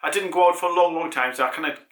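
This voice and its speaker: A man's voice: on the high side, rapid-fire, fairly bright tone, reverberant. A British man with a head cold hosting a casual cycling product review, rambling with many ums and restarts. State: congested with a cold. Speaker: cycling channel host.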